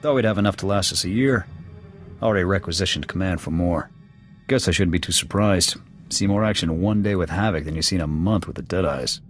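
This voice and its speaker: An actor playing a gruff, proud alien military officer in a video game, with an American accent and a blunt, clipped military delivery. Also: male voice, sits low, fairly quick, lightly acted. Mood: matter-of-fact, resolute. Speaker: soldier serving as second to his commander. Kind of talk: video game dialogue acting. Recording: background music under the dialogue.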